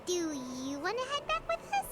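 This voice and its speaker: Tiny squeaky, excitable fairy companion. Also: female voice, moderate pace, over loud music, heavily acted. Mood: tactful, hesitant. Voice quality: squeaky, childlike. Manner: hesitant interjections, exclaiming. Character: small childlike floating fairy companion, excitable, blunt and chatty. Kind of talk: video game voice acting. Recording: noisy game audio from a livestream.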